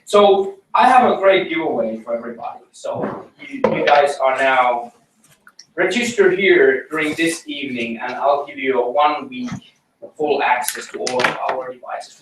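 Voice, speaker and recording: A man's voice: mid-range voice, fairly bright tone, distant mic. A man with a Finnish accent presents at a tech meetup, hesitating often with ums and uhs. His voice carries a heavy echo, caught from across the meeting room. Faint background noise sits beneath it. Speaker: conference speaker. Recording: roomy echo, mic from afar, faint noise.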